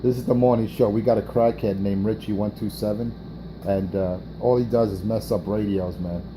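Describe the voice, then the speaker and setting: A man's voice: lowish voice, fairly even intonation, normal speed, background noise. A man trash-talking on a CB radio morning show, brash and profane, taunting another operator and repeating his jabs.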